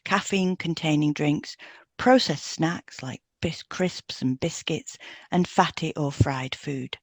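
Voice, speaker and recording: A woman's voice: low-pitched, no echo. A woman co-hosting a podcast, reading scripted health information in an informative way. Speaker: podcast co-host and hypnotherapist. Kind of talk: scripted educational podcast, read aloud.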